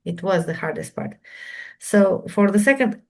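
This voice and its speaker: A woman with a Romanian accent, a non-native English speaker, answering questions on a panel in halting speech with many repeated words. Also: mid-range voice, lively intonation. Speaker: remote viewer panelist. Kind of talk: casual Q&A discussion.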